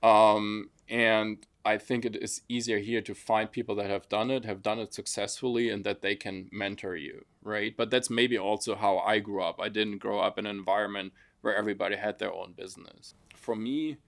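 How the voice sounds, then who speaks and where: A man's voice: fairly low pitch, steady tone, normal speed, vocal fry, hardly any pauses, fairly bright tone. A man from Germany with a German accent, telling personal stories casually as an interview guest. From Frankfurt, Germany. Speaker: interview guest, construction business founder.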